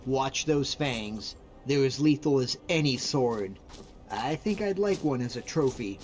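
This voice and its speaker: A man's voice: medium pitch, lively intonation, measured pace, long reverb tail, faint music behind, natural voice. A man voicing a young, earnest, devout Nordic hunter, a loyal companion in a video game, talking in an informal, conversational way. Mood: alert, eager. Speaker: video game follower character. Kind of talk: conversational game character voice acting.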